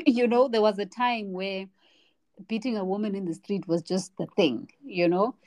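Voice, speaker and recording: A woman's voice: mid-range voice, steady tone, normal speed, darkish tone. A young woman from Africa, co-hosting a casual podcast chat, talking reflectively and searching for words with frequent restarts. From South Africa. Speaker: podcast co-host.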